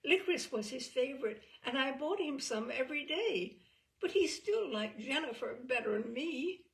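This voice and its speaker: Young girl, played by a woman narrator: wistful, hurt, pleading, higher lilting voice for literary storytelling. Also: animated, normal speed, recorded from a distance, rough recording. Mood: plaintive.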